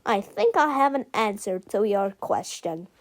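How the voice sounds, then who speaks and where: A woman's voice: medium pitch, sing-song. Woman as a smug, drawling tattletale schoolboy. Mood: hesitant. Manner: hesitant, drawn-out, smug.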